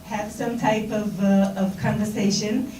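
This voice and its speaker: Halting Israeli woman, roomy hall sound. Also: medium pitch, flat delivery, dark tone. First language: Hebrew. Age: middle-aged. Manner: halting, many fillers and restarts, conversational. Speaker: speaker at a seminar. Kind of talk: informal talk to students. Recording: roomy and distant, with noise.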